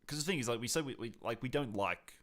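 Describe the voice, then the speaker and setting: A man co-hosting a podcast, chatting casually and telling gaming stories in an animated, emphatic, rambling way. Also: medium pitch, quick pace. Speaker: podcast co-host. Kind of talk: casual chat about multiplayer games.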